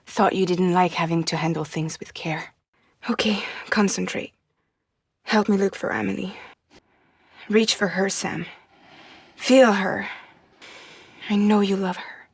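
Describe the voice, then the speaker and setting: A woman's voice: medium pitch, fairly even intonation, unhurried, vocal fry, long pauses, breathy edge, dry recording, studio quality. An actress playing a weary, wry young woman courier in a video game, guarded but caring, speaking in an understated, intimate way. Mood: hushed, urging.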